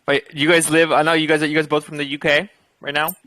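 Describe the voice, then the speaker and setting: A man's voice: fairly high pitch, little pitch movement, fast talker, pushed and bright, no echo. A man from the United States hosting a casual chat, speaking emphatically and directly.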